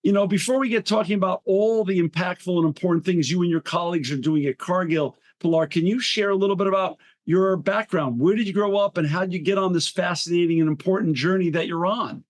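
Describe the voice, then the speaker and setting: A man's voice: high voice, quick pace, hardly any pauses. A man hosting a podcast interview who is effusive and polite, with frequent praise for his guest. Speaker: podcast host. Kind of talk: podcast interview and sponsor read.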